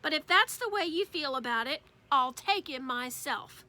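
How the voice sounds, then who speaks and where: A woman's voice: sits high, expressive, moderate pace, pushed and bright, exaggerated voice. A woman voicing a reasonable, firm mother who persuades her children in a storybook. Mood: persuasive.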